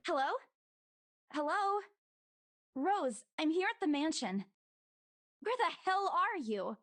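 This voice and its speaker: A woman's voice: extremely high pitch, very animated, slow, a bit bright, heavily acted. A woman playing an uneasy, exasperated young woman calling out in a horror visual novel game. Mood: anxious, exasperated.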